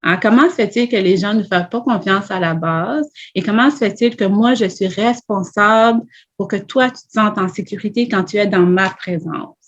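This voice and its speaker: A woman's voice: medium pitch, normal speed. A woman, a podcast guest speaking earnestly in a panel discussion. She builds her points one after another and presses with questions. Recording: dull lo-fi audio.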